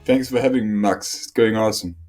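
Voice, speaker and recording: A young man from northern Italy who grew up speaking talks through his founder story as a podcast guest, earnest and methodical, pausing on frequent ums. His voice sits low and sounds warm and mellow. Faint music plays underneath. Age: 20s. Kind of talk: podcast interview answers.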